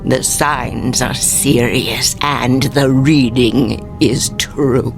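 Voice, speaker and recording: An actress playing a solemn, cryptic fortune teller in a radio drama, speaking in formal mystical pronouncements. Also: female voice, very deep voice, steady tone, drawn-out words, over music, full character voice. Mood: grave, ominous.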